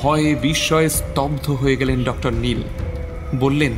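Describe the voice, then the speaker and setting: A man's voice: medium pitch, steady tone, normal speed. A man narrating a scripted horror story in a suspenseful, theatrical manner. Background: prominent dramatic music.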